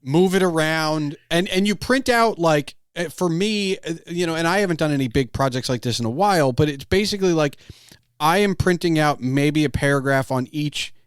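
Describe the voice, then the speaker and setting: Man chatting casually, slightly high voice, close dry sound. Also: moderate pace, clean tone, dry recording. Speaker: podcast host interviewing a guest. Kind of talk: casual conversational chat.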